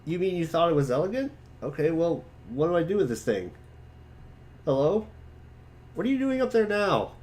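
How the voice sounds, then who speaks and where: Man playing an expressive, easygoing teenage boy. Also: on the high side, moderate pace, pauses often, pitched above the performer's own voice. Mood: exasperated. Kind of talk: amateur dramatic reading.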